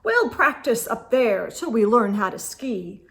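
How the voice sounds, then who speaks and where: A woman's voice: on the high side, big pitch swings, a little slow, gravelly, a bit bright, full character voice. A woman voicing an eager, confident man from a children's storybook, with emphatic, exclamatory delivery. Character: eager, confident man out skiing with his dog.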